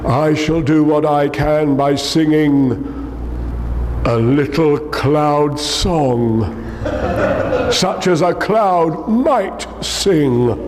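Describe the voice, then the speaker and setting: Man playing muddle-headed kindly toy bear, ponderous, earnest, storybook character, drawn-out pace, reverberant with faint noise. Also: on the high side, darkish tone. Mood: pondering, practical.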